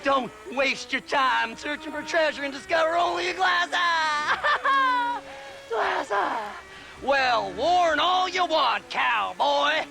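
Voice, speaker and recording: An actor playing a crazed, gleefully unhinged grave-robbing treasure hunter in a western video game, with an American Southern accent, a cackling voice and a manic, taunting manner. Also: male voice, expressive, slow pace, music in the background, full character voice, low-quality recording. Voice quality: high, creaky, cackling voice. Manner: manic, taunting, swinging between mutters and shrieks.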